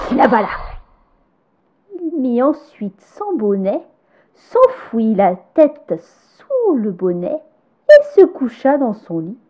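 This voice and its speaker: A woman reading a children's fairy tale aloud, gentle and expressive, sometimes dropping to a hush. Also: fairly high pitch, lo-fi sound. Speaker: storyteller.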